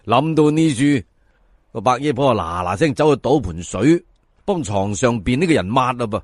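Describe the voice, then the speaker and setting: Animated Cantonese male storyteller. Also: medium pitch, dry recording, clean studio sound. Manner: theatrical, addresses listeners, drawn-out emphasis. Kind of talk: colloquial folk-tale narration.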